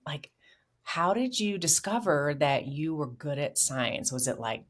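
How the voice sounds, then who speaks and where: Low-voiced upbeat woman podcast host. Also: expressive, measured pace. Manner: upbeat, encouraging, with frequent affirmations. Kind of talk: scripted intro then casual interview.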